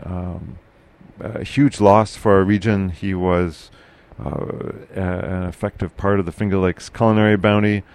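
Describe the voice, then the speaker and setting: A man hosting a casual local podcast chat, with a loud, carrying voice, talking haltingly with many fillers and restarts. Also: low voice, steady tone, gravelly. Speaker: podcast host.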